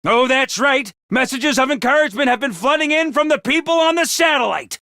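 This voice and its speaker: A man's voice: extremely high pitch, lively intonation, fairly quick, pushed and bright, dry recording, studio quality, full character voice. An actor voicing a pompous, cheery male academy commander in a video game, giving rousing speeches to his troops. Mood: upbeat. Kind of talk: game voice lines.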